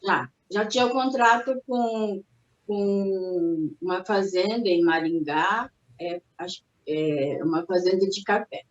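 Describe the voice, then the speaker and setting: A woman's voice: medium pitch, takes it slowly. An older woman born in Japan, speaking as an interviewee and recalling her family's past in a reflective, hesitant way with many restarts. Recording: roomy, dull recording.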